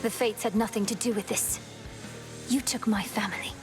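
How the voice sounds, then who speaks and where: An actress playing a young, defiant princess out for vengeance in a video game, with an intense, breathy, dramatic delivery. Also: female voice, medium pitch, steady tone, moderate pace, music in the background, close to an everyday voice. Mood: bitter defiance.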